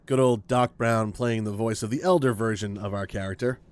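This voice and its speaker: A man's voice: lowish voice, steady tone, brisk, a little mellow, no room sound. A man hosting a gaming video with casual, rambling commentary, thinking aloud as he plays. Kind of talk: casual let's play commentary.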